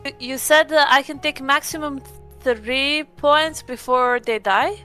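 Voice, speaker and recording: A woman's voice: sits high, measured pace, pushed and bright, faint music behind. A woman chatting casually as a player at a tabletop roleplaying game, often questioning.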